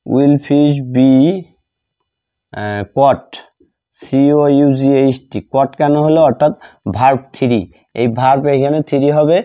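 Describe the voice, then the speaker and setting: A Bengali-accented man gives a grammar lesson at a very unhurried pace. His voice is mid-pitched and soft-edged, dark in tone. He dictates piece by piece, repeating phrases as he writes them out. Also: steady tone, muffled recording. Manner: deliberate, repetitive, spelling out each phrase. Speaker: English grammar teacher.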